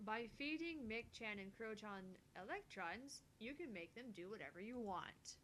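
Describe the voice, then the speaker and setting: A woman plays a young female scientist in a visual novel, with a fairly high voice. She is earnest and explanatory, stammers a little and is easily flustered. The recording carries some noise and rumble. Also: animated, moderate pace, fairly bright tone. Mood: earnest explaining. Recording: faint noise with low rumble.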